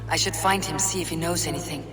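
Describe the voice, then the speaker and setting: A woman's voice: deep voice, fairly even intonation, quick pace, lightly acted. A woman playing a blunt, steely Viking warrior in a video game, terse and dry in manner. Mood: focused, musing. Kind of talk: video game character acting. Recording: loud music behind the voice.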